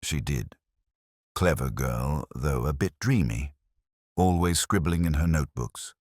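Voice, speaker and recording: Man playing an indignant proud aunt, blunt, deep pitch, lively swings, audiobook mystery. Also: measured pace, no room sound, studio quality, exaggerated voice. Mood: blunt, defensive. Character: indignant proud woman cook, a small-town aunt.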